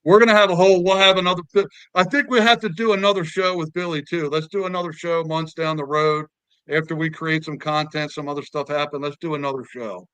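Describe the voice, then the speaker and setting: A man's voice: a little high, steady tone, fast talker, fairly bright tone, smooth, no echo, low-quality recording. A man in his fifties hosting a podcast, chatting and telling long stories with repeated words. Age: 50s. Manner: talkative storyteller, repeats words. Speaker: podcast host. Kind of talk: casual podcast chat.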